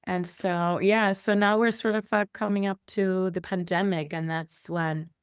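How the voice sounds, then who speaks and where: A woman's voice: mid-range voice, fairly even intonation, unhurried, clear, resonant tone, dry recording, clean studio sound. A middle-aged woman from Iceland, a guest telling her life story in a rambling way with many fillers and restarts. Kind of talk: conversational interview storytelling.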